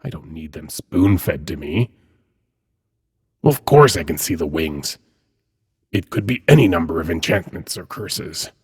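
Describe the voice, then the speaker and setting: A suave demon prince, acted by a man, speaks in a very low register with a heavily husky, breathy voice. He is haughty and courtly, choosing his words with measured condescension. The delivery is that of a seductive fantasy audio roleplay. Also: animated, heavily acted. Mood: offended, condescending. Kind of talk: dramatic ASMR roleplay monologue.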